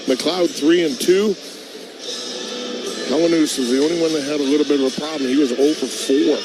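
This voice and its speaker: A North American man gives loose, conversational hockey analysis as a color commentator. His voice sits a little high, and music plays clearly behind him in the arena. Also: fairly even intonation, moderate pace, rough recording. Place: broadcast booth at a hockey arena.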